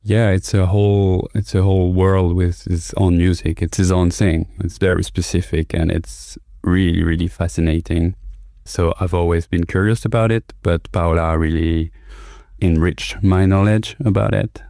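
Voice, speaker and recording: A man's voice: low voice, little pitch movement, moderate pace, warm tone, no room sound, clean studio sound. A man who is a music producer, talking in a laid-back, agreeable way during an interview. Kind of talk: casual interview.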